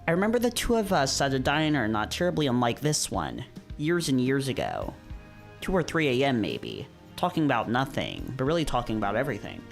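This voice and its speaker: A man's voice: mid-range voice, huge pitch swings, brisk, gravelly, soft background music. A man hosting a visual novel read-through, moving between narration and casual chat. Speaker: host of visual novel readings.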